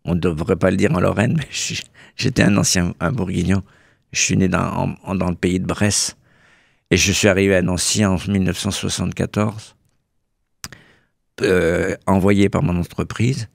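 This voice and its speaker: A man's voice: low voice, steady tone, moderate pace, vocal fry, no echo. A man in his seventies, originally from Burgundy, chatting genially as a guest in a radio studio interview. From Burgundy, France. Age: 70s. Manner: genial, anecdotal, self-correcting. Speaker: association president, guest.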